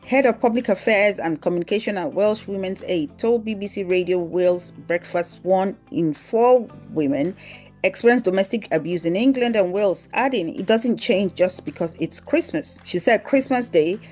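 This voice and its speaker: A woman's voice: medium pitch, moderate pace, darkish tone, soft background music. A woman narrating a scripted news article aloud as a newsreel presenter.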